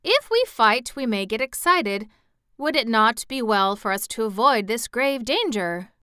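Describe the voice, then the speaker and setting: Brief: a woman playing a timid, pompous general, fussy and raised, clear and bright. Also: fairly high pitch, animated, measured pace, no echo, clean studio sound. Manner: fussy, overly polite. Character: cowardly pompous male general.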